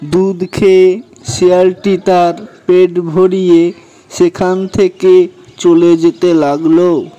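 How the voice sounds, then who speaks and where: A man's voice: sits high, little pitch movement, slow pace, mellow, highs cut off. A man narrating a scripted children's story as the storyteller.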